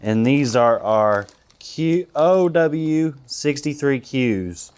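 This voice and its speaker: A man's voice: medium pitch, animated, very slow, darkish tone, clean tone. A man working as an audio-video installer gives a casual, plain-spoken product walkthrough outdoors, addressing viewers directly. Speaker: audio-video installer presenting his work. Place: outdoors at a client's house and patio.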